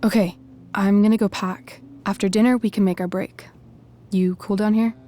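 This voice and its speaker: A woman's voice: medium pitch, fairly even intonation, moderate pace, mellow, close to an everyday voice. A woman playing a confident, scheming teenage girl in a video game, poised and conspiratorial. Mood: hushed, wry. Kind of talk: video game cutscene acting.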